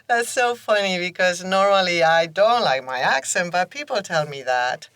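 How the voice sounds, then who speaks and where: A woman's voice: lowish voice, lively intonation, moderate pace, a bit bright, no echo. A woman in her fifties from southern Spain with a Spanish accent, chatting casually as a guest in an interview. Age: 50s. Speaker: podcast guest, professor.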